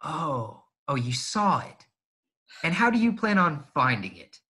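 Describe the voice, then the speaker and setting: A cocky space pilot, played by a man, earnest and persuasive in a film script reading. He talks casually and haltingly, as if thinking it through. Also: a little high, sing-song, bright, forceful tone, breathy edge, heavily acted. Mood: earnest, persuading. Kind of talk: fan table read of a film script.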